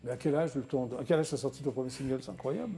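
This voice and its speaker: A woman hosting a TV talk show, asking brisk chatty questions. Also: extremely low pitch, mellow, faint music behind. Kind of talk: casual TV interview.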